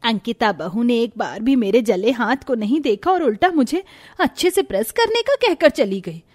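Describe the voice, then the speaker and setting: A woman's voice: fairly high pitch, big pitch swings, moderate pace. A woman voicing a greedy, fawning middle-aged mother-in-law in a cartoon moral story, with a dramatic, fussing delivery. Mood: troubled.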